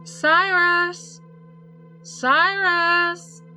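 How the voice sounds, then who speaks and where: A narrator voicing a panicked, terrified wife, breathy and crying as she pleads. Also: very high voice, fairly even intonation, very slow, pushed and bright, soft background music, voice pushed up, full character voice. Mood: desperate calling. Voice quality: breathy, gasping.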